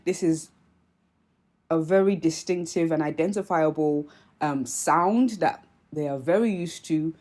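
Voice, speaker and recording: A woman's voice: low-pitched, unhurried, darkish tone. A woman in her twenties with a mixed Nigerian and British accent, speaking earnestly and candidly to camera in an opinion vlog, with frequent fillers and restarts. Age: 20s. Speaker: YouTuber.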